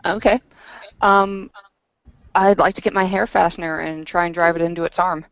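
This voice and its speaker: A woman's voice: on the low side, fairly even intonation, normal speed, dry recording. A woman chatting casually as a player in a tabletop roleplaying game over an online voice chat. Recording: dry, dull lo-fi voice chat.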